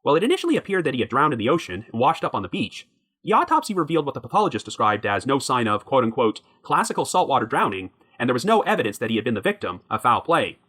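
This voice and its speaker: A man hosting a podcast, narrating a true crime story from a prepared script in a measured way. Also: mid-range voice, very animated, fairly bright tone. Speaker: podcast host.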